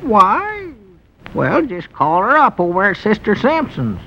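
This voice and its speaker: An actor playing a dry, plain-spoken old storekeeper with a slow, teasing drawl, in a vintage radio comedy. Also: male voice, high-pitched, wildly swooping pitch, bright, forceful tone, full character voice. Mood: exasperated. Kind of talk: 1930s-50s radio comedy acting. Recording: dull old radio recording with faint hiss.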